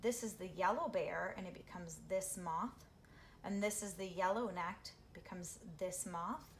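A middle-aged woman reading a picture book aloud to children, with expressive, drawn-out phrasing and questions for her young listeners. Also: lowish voice. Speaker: read-aloud storyteller for children. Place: small living room, seated on a couch. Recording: roomy home recording with background noise.

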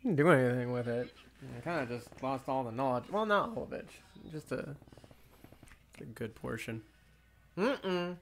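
A man's voice: medium pitch, expressive, moderate pace, pauses often, slight background noise. A young man rambling casually to himself while doing live commentary on an old movie, full of fillers and restarts. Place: at home.

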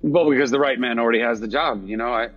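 A man's voice: medium pitch, fast, fairly bright tone, lo-fi sound, faint music behind, lightly acted. A man acting an earnest, modest young spy working undercover in a store, in a script read.

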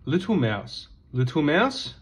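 Man, bedtime storybook reader, husky edge, singsong, wide rise and fall in pitch. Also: very animated, slow. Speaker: children's book reader. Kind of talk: bedtime picture book read-aloud.

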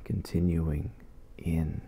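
Male meditation guide for ASMR, extremely low with gravelly fry, soft and soothing, leaving long pauses. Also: flat delivery, slight background noise.

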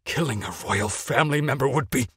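A man's voice: medium pitch, fairly quick, slightly rough, no room sound, clean studio sound. A man playing a loyal older knight in a video game, speaking in a grave, restrained, formal manner. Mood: firm restraint. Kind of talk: dramatic video game voice acting. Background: loud music.

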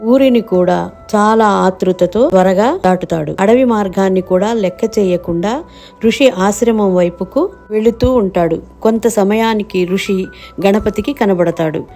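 A woman narrates a children's tale from a script. Her voice is medium in pitch and dark, soft-edged in tone, with music playing behind. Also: a little slow.

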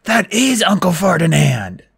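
Woman doing a bleating, husky young male satyr. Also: mid-range voice, animated, drawn-out words, pitched above the performer's own voice, exaggerated voice. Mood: panicked. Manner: bleating, yelling. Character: frightened, brave young male satyr sidekick.

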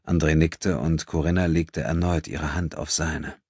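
A man's voice: rumbling low, fairly even intonation, measured pace, a little mellow, husky, no room sound, clean studio sound. A man reading a novel as a dramatic literary audiobook narrator.

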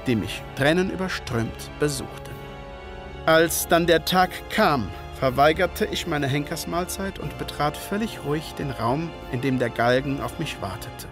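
An actor playing a gentleman physician and former soldier, narrating an audio drama in measured, literary fashion. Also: a little high.

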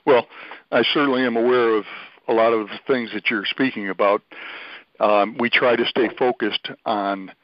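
A man speaking as an organization's spokesman on a conference call, explaining in measured, courteous and careful phrases. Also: medium pitch, steady tone, no room sound. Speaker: spokesman for an oath-keeping organization. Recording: dull band-limited phone line.

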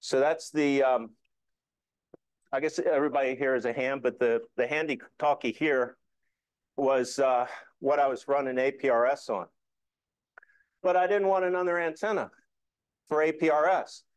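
A man's voice: medium pitch, normal speed, long pauses, clean tone. A man with a mid-range voice gives an informal presentation. He explains practical details in a folksy, joking manner, and the sound is fairly dry with little room echo. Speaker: amateur radio club presenter. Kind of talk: informal slide talk.

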